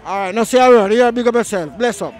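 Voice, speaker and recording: A woman with a Jamaican accent works the street as an interviewer and fires questions fast in a high voice. She presses people with quick follow-ups and closes with upbeat sign-offs. She speaks into a handheld mic outdoors in town. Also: a little mellow, faint music behind, some chatter behind. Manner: quick probing questions, show sign-off catchphrases. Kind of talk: vox pop interviewing.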